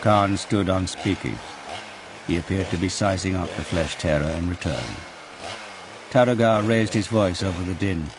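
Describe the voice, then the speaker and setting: A man narrating a dark war story as a grave storyteller in an audio drama. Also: low-pitched, moderate pace, background noise, natural voice. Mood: grave, dramatic.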